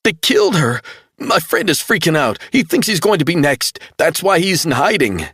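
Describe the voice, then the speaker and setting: A man voicing a grief-stricken young man who pleads through sobs, high-strung and scared. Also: wildly swooping pitch, normal speed, fairly bright tone, slightly rough, no echo, studio quality, heavily acted. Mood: distraught pleading. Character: distraught young man, grieving hacker.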